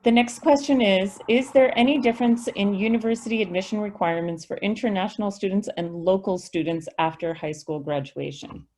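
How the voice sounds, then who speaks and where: A woman's voice: medium pitch, a little fast, hardly any pauses, a little mellow. A woman, a school district representative answering questions on an online video call in a patient, explanatory way. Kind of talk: webinar Q&A, reading and answering questions.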